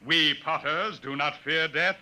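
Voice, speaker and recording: A man's voice: on the high side, unhurried, bright, forceful tone, slight background noise. A man playing a proud, menacing alien chief in a pompous, archaic manner, in 1950s science fiction radio style. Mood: haughty, solemn. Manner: pompous, archaic, solemn speech. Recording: old radio broadcast, music behind.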